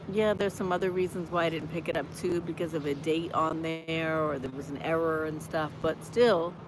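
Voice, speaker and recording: Hoarse woman in her 50s, motivational vlogger, preachy and chatty, phone mic outside with traffic noise. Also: deep voice. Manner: encouraging, chatty, preacher-like exhortation. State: hoarse from a cold. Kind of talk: live-streamed walking vlog. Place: outdoors on a college campus. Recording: noisy outdoor street ambience.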